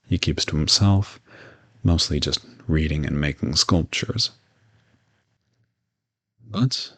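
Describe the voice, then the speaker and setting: A man playing a gentle, courteous old vampire in an intimate audio roleplay, reassuring and lightly teasing as he speaks to the listener. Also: low voice, creaky, full character voice. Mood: reassuring, fond. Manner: soft-spoken reassurance, politely formal with teasing asides.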